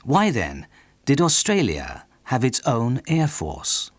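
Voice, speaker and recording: A man's voice: mid-range voice, wildly swooping pitch, slow pace, dry recording. A man reading scripted narration for a documentary as its narrator.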